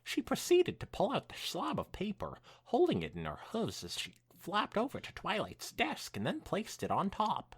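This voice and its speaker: A young man hosting a podcast, reading fan fiction aloud in an animated way mixed with casual chat. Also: high-pitched, normal speed, hardly any pauses, husky.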